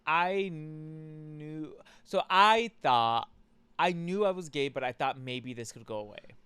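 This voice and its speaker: A man's voice: a little high, slow pace, sharp tone, smooth, dry recording. An American man in his thirties from Washington state, chatting casually and playfully as a comedian guest. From Washington state, USA. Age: 30s. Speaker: stand-up comedian, podcast guest. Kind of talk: casual chat.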